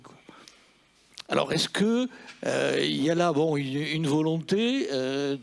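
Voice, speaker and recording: Man, audience question, gravelly voice, fairly high, halting, lots of fillers. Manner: halting, many fillers and restarts. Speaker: audience member asking a question. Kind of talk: hesitant question from the floor. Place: festival round-table room.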